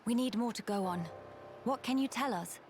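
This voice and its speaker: An actress playing a composed, courteous young noblewoman in a video game cutscene, speaking formally and with measured dignity. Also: a little high, a little mellow, slight background noise, natural voice. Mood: inquiring. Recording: game music behind the voice.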